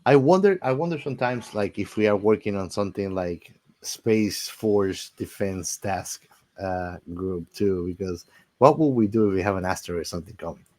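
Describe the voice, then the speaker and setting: A man hosting a casual movie discussion, haltingly thinking aloud and repeating words as he goes. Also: fairly low pitch, darkish tone. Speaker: podcast host.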